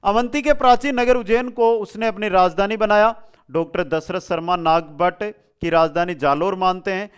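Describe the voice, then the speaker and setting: A man's voice: sits high, normal speed, smooth. A man teaching a live quiz lesson, reading questions and answers aloud, repeating them and prodding students to respond. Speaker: online exam-coaching teacher.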